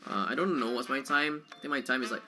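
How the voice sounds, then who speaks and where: A man giving casual, excitable live commentary on a game he is speedrunning, prone to exclamations. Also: mid-range voice, quick pace, faint music behind.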